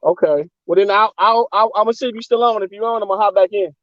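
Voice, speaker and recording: Fast-talking high-voiced man over a dull line. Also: fairly bright tone, no echo. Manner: quick, loose slangy talk. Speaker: guest on a livestream. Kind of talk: casual call-in chat. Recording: dull dry remote call audio.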